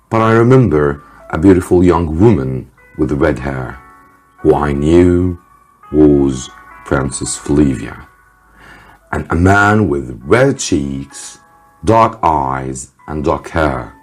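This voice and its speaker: Deep, husky, slow male story reader. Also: darkish tone. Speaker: English teacher reading a story aloud. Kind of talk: scripted story narration for students. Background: faint music.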